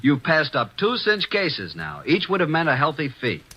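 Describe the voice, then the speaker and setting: An actor playing a brash, wisecracking young assistant detective in a 1950s radio drama, breezy and cheeky. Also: male voice, fairly high pitch, huge pitch swings, normal speed, husky, lo-fi sound, full character voice.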